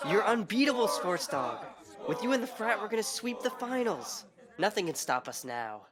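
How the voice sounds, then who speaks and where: A man's voice: sits high, big pitch swings, moderate pace. A man playing an earnest young fraternity president in a comedic audio drama, admiring and persuasive. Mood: triumphant. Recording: music behind the voice.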